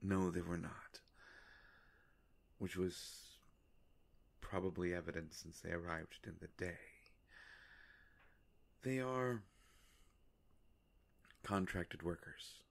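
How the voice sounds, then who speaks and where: A man playing a courteous, melancholy vampire in a roleplay audio drama, speaking formally and hesitantly with long pauses and a gravelly voice. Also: heavily acted. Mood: apologetic, hesitant. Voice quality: gravelly, creaky low voice. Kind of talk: scripted roleplay audio drama addressed to the listener.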